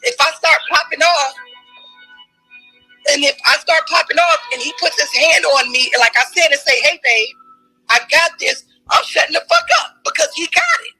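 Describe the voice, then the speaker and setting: A woman's voice: sits high, big pitch swings, moderate pace, faint music behind, poor audio. A woman arguing in a heated debate, emphatic and challenging, and losing her voice. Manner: forceful, emphatic, challenging questions.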